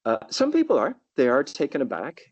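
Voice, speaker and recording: A man's voice: medium pitch, wildly swooping pitch, a little fast, a little mellow, no room sound, highs cut off. A middle-aged man with a Canadian accent, telling stories as a guest on a casual podcast and often repeating a word as he starts. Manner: thoughtful, storytelling, repeats words at starts. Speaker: guest, tour guide.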